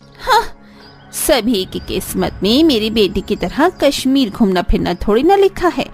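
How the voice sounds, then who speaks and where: Woman voicing a high, haughty, taunting mother. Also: sing-song, unhurried, a little mellow, faint music behind. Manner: snide, boastful.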